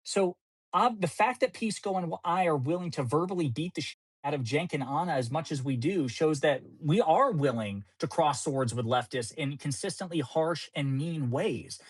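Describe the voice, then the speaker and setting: Male podcast co-host, slightly high voice, insistent, debating tone. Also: lively intonation, normal speed, husky. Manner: insistent, lawyerly, repeats his point. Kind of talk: casual political debate.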